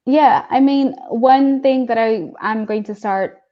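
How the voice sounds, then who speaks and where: A woman guest in a casual video-call chat, talking quickly and breaking into laughs as she jokes. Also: a little high, muffled recording. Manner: chatty, joking, quick to laugh.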